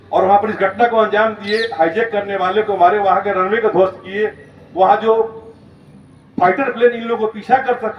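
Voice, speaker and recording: A man speaking as a school principal, giving an emphatic, step-by-step explanatory lecture in storytelling style. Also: high-pitched. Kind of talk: motivational school lecture, storytelling about current events. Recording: roomy, distant, muffled, noisy recording.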